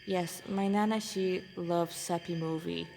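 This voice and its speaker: A woman's voice: on the low side, steady tone, a little slow, creaky, a little mellow, big-room sound, soft background music. A woman reading a story aloud as narrator, an amateur who stumbles over phrases and repeats them. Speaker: amateur fan fiction author reading aloud.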